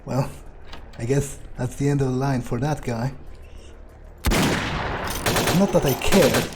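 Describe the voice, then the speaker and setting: A man's voice: medium pitch, takes it slowly, heavy background noise, lightly acted. A man playing a cocky, nonchalant vampire, muttering his thoughts aloud in character as game commentary. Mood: indifferent. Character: nonchalant, cocky male vampire, casually menacing. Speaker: gameplay streamer role-playing a character.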